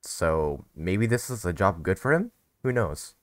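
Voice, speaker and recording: Guy doing chill lets play commentary, deep voice, dry mic, game music quietly behind. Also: animated, unhurried, no room sound. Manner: informal, reactive, mild swearing. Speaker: gaming video host. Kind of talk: casual let's-play commentary.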